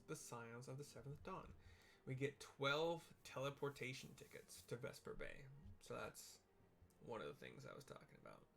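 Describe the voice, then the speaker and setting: A man's voice: medium pitch, a bit bright. A man giving casual, descriptive commentary on a video game in an informal, meandering way.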